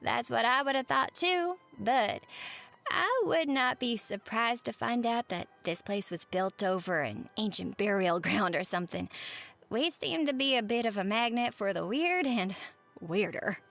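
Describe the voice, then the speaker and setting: A woman playing a cheerful, chatty waitress on a diner night shift in a roleplay audio, with a Southern US accent and a folksy, expressive manner. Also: on the high side, unhurried, gravelly, full character voice. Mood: chatty, amused. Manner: folksy, expressive, uses pet names. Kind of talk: roleplay monologue to the listener, chatty storytelling.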